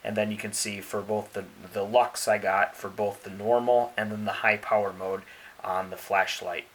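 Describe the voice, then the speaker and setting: A man's voice: lowish voice, level tone, normal speed, slight background noise, poor audio. A man giving a casual hands-on product review, explaining each step methodically and checking in with the listener as he goes.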